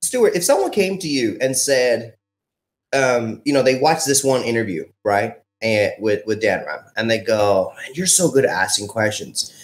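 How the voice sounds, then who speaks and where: A man from India speaks with a mixed international accent that leans partly American. His voice sits at an ordinary middle pitch, and he tells his story earnestly, slowing down for emphasis. He talks into a headset mic. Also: sing-song. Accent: mixed international, sounds partly American. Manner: earnest, measured storyteller with slow emphatic stretches. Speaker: guest speaker.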